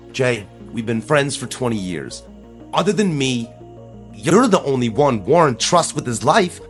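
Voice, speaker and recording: A man's voice: a little high, fairly bright tone. An actor playing an anxious, determined small-town man in an audio drama, speaking earnestly and persuasively.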